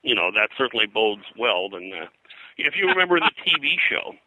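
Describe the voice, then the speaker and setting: A man's voice: lowish voice, big pitch swings, fairly quick, bright, forceful tone, no echo, rough recording. An older man being interviewed as a historian, telling anecdotes in a rambling, hesitant way full of digressions. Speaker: pop culture historian, interview guest.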